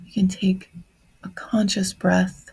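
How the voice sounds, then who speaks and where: A woman leading a guided meditation in a soft, breathy voice, speaking gently in short phrases with long pauses. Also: mid-range voice, little pitch movement. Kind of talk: guided meditation on grief, slow and reflective.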